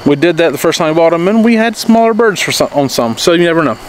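A man's voice: fairly high pitch, lively intonation, quick pace, a little hiss or hum, poor audio. Rambling man vlogging in his backyard. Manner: rambling, frequent restarts and "okay?" checks. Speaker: homesteading vlogger. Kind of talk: casual explainer vlog. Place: backyard outdoors. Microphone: handheld camera at arm's length.

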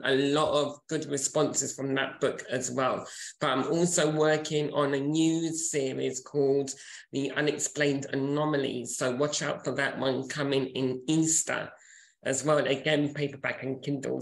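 Man, 40s, enthusiastic podcast chat, gravelly, echoey room. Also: on the high side. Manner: enthusiastic, chatty. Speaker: podcast co-host and author. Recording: roomy echo.

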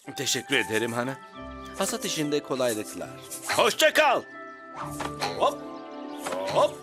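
A man's voice: mid-range voice, wildly swooping pitch, measured pace, long pauses. A man voicing a cheerful, self-important insect musician in a children's cartoon, chatty and theatrical. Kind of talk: dubbed children's cartoon acting. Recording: music behind the voice.

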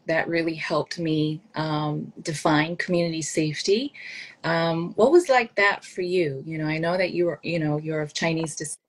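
A young woman hosts a podcast interview from a home office over a webcam. Her voice is low for a woman, and she affirms her guest and then asks open, thoughtful questions. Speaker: podcast host. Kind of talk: interview over video call. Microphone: video call webcam.

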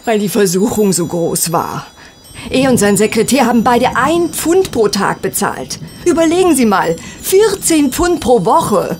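A woman's voice: fairly high pitch, sing-song, unhurried, darkish tone, faint music behind. An actress playing a proper, protective middle-aged landlady in a radio play, speaking earnestly. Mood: disapproving. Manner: earnest, proper, narrating in detail. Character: proper, protective middle-aged mother running a boarding house. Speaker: actor in an audio drama. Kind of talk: German radio play dialogue.

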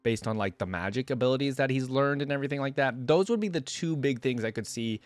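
A man's voice: mid-range voice, animated, fast talker, dry recording. A young man hosting a reaction video, chatting casually to camera in an informal, rambling way into a close desk mic. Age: young adult. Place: small indoor gaming setup. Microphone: close desk microphone on arm.

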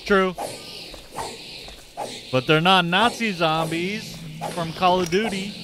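A man in his twenties chatting casually with his livestream chat while playing, laid-back and quick to laugh. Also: high voice, noisy background. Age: 20s. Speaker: livestream gamer. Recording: game music loud behind. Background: game music.

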